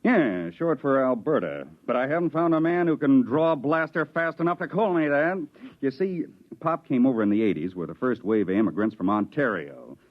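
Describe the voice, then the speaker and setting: Gruff frontier stowaway from Venus, played by a man, rustic drawl, swooping delivery, muffled old broadcast sound. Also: medium pitch, lively intonation, fairly quick, close to an everyday voice. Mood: folksy, gruff. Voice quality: folksy drawling rustic speech. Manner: teasing, calls the boy tadpole. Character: gruff rough-hewn male Venusian stowaway, blunt and folksy. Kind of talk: 1950s radio drama acting. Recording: muffled 1950s radio broadcast.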